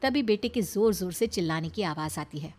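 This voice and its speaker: A woman's voice: fairly low pitch, lively intonation, brisk, darkish tone, faint music behind. A woman reading scripted, dramatic narration for a moral story.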